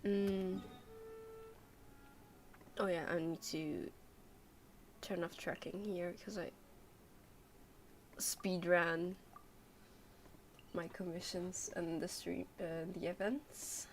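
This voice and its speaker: A woman's voice: fairly low pitch, level tone, drawn-out words, long pauses, slight background noise. A young adult woman chatting casually on a game livestream, hesitant and rambling as she thinks aloud. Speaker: game streamer.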